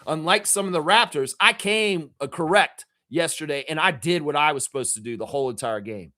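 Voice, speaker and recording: A man hosting a fan reaction show, raspy from yelling at a game, ranting emphatically with swearing and repeated phrases. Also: a little high, brisk. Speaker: fan channel host. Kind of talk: fired-up fan reaction rant.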